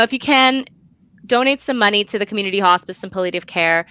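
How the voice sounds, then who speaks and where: A woman's voice: mid-range voice, bright, forceful tone, clear, resonant tone, no room sound. A young adult woman answering in a conversational interview, earnest and emphatic, repeating phrases for stress. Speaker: guest, student actor and playwright.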